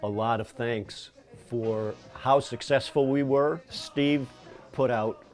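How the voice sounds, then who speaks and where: A man's voice: mid-range voice, fairly even intonation, slow pace, darkish tone, faint music behind. An American man in his sixties, a band musician, reminiscing casually in an interview. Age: 60s. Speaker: trombone and tuba player in a band.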